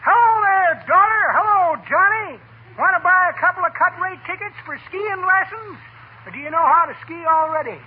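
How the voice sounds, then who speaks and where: An actor playing a wisecracking elderly old-timer in 1940s radio comedy, with a cracked voice and rustic storyteller talk. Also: male voice, normal speed. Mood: folksy, chirpy. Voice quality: high, cracked old-man voice. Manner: rustic, drawling storyteller dialect. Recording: scratchy, tinny 1940 radio broadcast.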